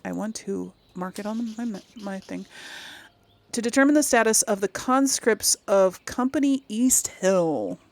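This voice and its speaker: Casual female let's-play host, unhurried and slightly creaky, muses aloud with lots of pitch movement. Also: animated, dry recording. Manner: thinks aloud, muttering to herself. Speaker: gaming channel host. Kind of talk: casual game playthrough commentary.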